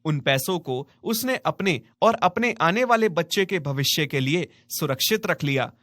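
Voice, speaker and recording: A man's voice: fairly high pitch, lively intonation, measured pace, fairly bright tone, no echo. A man narrating a scripted moral story as a storyteller.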